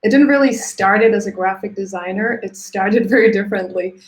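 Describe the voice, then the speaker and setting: A woman's voice: medium pitch, brisk. A woman gives an informal, light-hearted talk as a guest designer on an online call. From Latvia. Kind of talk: informal career talk presentation. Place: indoors, on a live video call. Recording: roomy, echoey online call.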